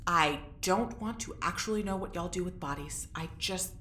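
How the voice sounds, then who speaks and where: An actress playing an anxious, sharp-tongued young woman in a sci-fi audio drama, speaking bluntly and informally. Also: fairly low pitch, normal speed, lightly acted. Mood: scheming, frustrated. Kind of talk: audio drama acting, naturalistic dialogue. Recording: roomy echo, faint background noise.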